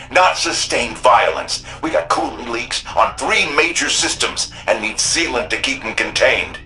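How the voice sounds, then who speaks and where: A man's voice: medium pitch, pushed and bright, heavily acted, rough recording. An actor playing a practical, hard-pressed city repair crew chief in a sci-fi game, blunt and urgent, with a folksy working man's way of talking. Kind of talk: video game quest dialogue.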